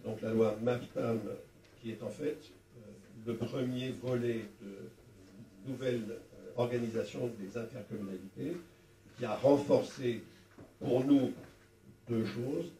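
An older man explaining things at a public debate in a halting, didactic way, speaking into a handheld microphone. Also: medium pitch, warm tone. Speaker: former local councillor, panel speaker. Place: small wood-walled meeting room at a public event. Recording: roomy, distant sound with faint noise.

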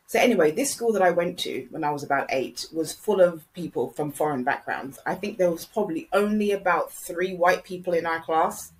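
Brief: low-pitched woman, candid podcast storyteller, blunt delivery. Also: lively intonation, a little fast, few pauses, low-quality recording. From Jamaica. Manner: blunt, candid, repeats phrases for emphasis. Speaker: podcast host. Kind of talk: reflective commentary and personal storytelling.

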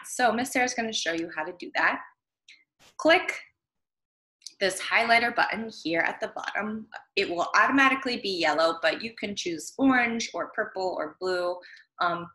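Young american lady teacher, lowish bright voice, walks little kids thru steps, echoey laptop mic. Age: young adult. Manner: simple, step-by-step explaining. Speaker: primary school reading teacher. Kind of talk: instructional screen-share lesson for young children. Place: small room at home. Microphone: computer webcam, video recording. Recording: roomy home computer microphone sound.

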